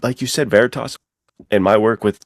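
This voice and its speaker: A man's voice: lowish voice, steady tone, brisk, dry recording, studio quality. A man talking casually as a podcast co-host, slangy and self-deprecating. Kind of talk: casual chat.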